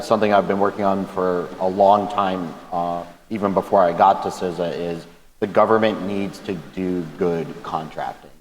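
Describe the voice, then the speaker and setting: A man with a loud voice speaking on a conference panel, talking conversationally with frequent restarts and repeated words. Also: deep voice, slight background noise. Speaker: government panelist. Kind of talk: panel discussion. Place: conference hall. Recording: echoey hall with faint ambience.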